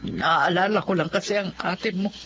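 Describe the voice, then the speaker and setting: A woman's voice: fairly low pitch, normal speed, fairly bright tone, a little hiss or hum, natural voice. A woman with a Filipino accent playing a doting, worried middle-aged mother in a radio drama. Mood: tearful, wistful. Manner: tender, fretful. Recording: dull radio drama audio, music.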